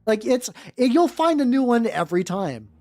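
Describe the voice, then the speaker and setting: Lively, swooping woman streamer. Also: a little high, normal speed, dry recording. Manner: sweary, slangy, wry asides. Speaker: streamer, vtuber host. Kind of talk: casual live-stream chat and comedic fanfiction reading. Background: background music.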